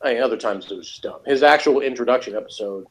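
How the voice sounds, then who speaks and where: A man in a casual review chat, talking in a halting, rambling, opinionated and critical way. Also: medium pitch, low-quality recording. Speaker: review show co-host.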